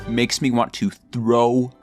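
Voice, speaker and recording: A man's voice: medium pitch, completely flat delivery, slow pace, darkish tone, faint music behind. A man delivering scripted satirical video commentary in a mock-serious way, with exaggerated theatrical emphasis. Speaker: video creator and narrator.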